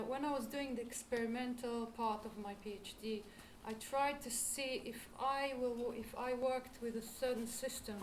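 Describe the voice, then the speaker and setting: A woman with an Israeli accent, a lecturer answering audience questions, reflective and searching for words. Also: fairly high pitch. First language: Hebrew. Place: lecture hall. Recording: roomy hall, distant mic, background noise.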